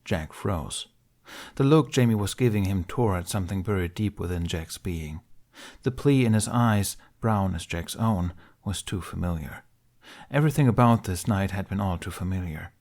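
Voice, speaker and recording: A man reading a fan fiction aloud as an audiobook, in soft, measured storytelling. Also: on the low side, animated. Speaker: podfic reader.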